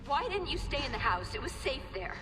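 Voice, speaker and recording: A woman's voice: high voice, sing-song, a little fast, music in the background. An actress playing a stern, harried scientist mother in a game, scolding impatiently in an echoing space. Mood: exasperated. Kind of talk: cinematic game voice acting. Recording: echoey hall, distant, loud noise.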